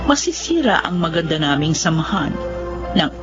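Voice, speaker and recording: Middle-aged widowed mother, lovestruck, stubborn, Filipino radio drama, animated intonation, muffled recording. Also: sits low, moderate pace, loud music behind the voice. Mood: wistful. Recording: dull lo-fi radio drama sound.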